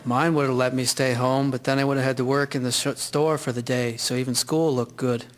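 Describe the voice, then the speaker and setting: Mid-pitched chatty schoolboy, acted by a man. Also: flat delivery, a little fast, natural voice. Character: frank, chatty teenage schoolboy, 1920s. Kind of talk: scripted audio drama acting.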